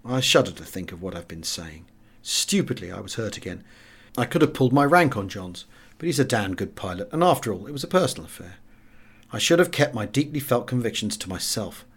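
Expressive English male audiobook reader. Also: on the low side, husky. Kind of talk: expressive memoir narration.

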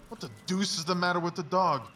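Man playing a wry male detective, forceful and bright, audio drama, faint noise behind. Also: sits high, steady tone, fast talker. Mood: puzzled, musing.

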